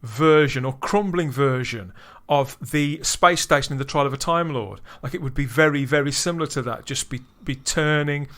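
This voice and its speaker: A man's voice: fairly high pitch, moderate pace, a bit bright. A man hosting a podcast, reviewing in casual chat, enthusiastic, with frequent restarts. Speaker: podcast host.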